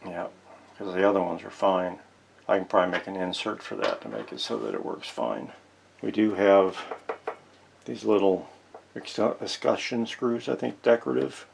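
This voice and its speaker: A man's voice: sits low, normal speed, vocal fry, slight background noise. A man giving casual, folksy, unscripted narration as he works with his hands, thinking aloud as he goes. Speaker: host of a vintage radio repair channel. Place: indoor workshop.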